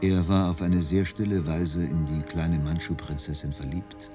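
A man narrating a literary radio drama as a reflective storyteller, in a measured, contemplative way. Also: low voice, flat delivery, over music, natural voice. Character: reflective male storyteller of a dreamy novel.

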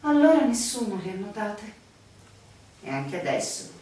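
An actress playing a proud, knowing barmaid at a village inn in a stage-style radio drama. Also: female voice, medium pitch, very animated, moderate pace, dark tone, lightly acted. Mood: proud, teasing. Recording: echoey room, distant mic, faint noise, clicks.

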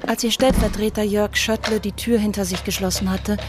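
A woman's voice: mid-range voice, steady tone, fairly quick, background noise, natural voice. A woman narrating a German radio crime drama in a composed, scripted style.

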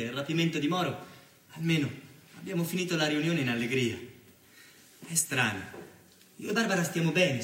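A man's voice: on the high side, fairly bright tone, lightly acted. Man acting an earnest journalist, echoey and distant. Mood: reflective, troubled. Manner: solemn, reflective. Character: earnest, troubled young male left-wing journalist. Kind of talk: theatrical monologue in radio drama. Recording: echoey, distant, music behind.

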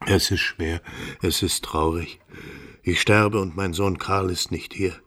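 An older man playing a frail, dying nobleman father in a radio drama, husky and creaky, lamenting in a slow, faltering way. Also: sits low, fairly even intonation, a bit bright. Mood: weary sorrow. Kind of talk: theatrical radio drama acting.

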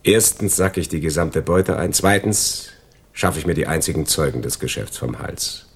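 An actor playing a cold, gloating criminal in a radio crime drama, taunting and deliberate in his delivery. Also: rumbling low, lively intonation, husky, heavily acted. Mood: coldly gloating. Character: cold, gloating male criminal double-crossing his accomplices.

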